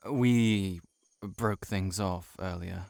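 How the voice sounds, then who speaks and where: A man playing a shy, heartbroken friend in an intimate audio roleplay, confiding haltingly to the listener. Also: low voice, gravelly, darkish tone, no echo, clean studio sound, exaggerated voice. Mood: regretful, confessing. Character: shapeshifting monster posing as a shy, heartbroken male friend.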